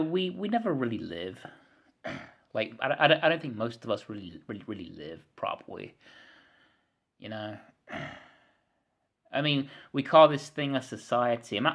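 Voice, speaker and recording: A man in his thirties rants to his camera in a rambling, frustrated monologue. He repeats words, swears freely and often clears his throat. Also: medium pitch, fairly bright tone. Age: 30s. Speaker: vlogger.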